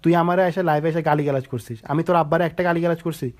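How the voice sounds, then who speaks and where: A man's voice: fairly high pitch, steady tone, rapid-fire. A young man on a heated phone call, accusing and lecturing the other side. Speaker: caller.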